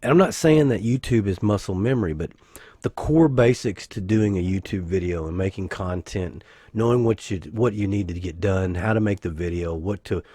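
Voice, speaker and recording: Husky man getting over the flu. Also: sits low, normal speed, dark tone, dry recording. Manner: rambling, self-correcting, joking asides. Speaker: YouTube gaming channel host. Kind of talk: casual anniversary vlog talk.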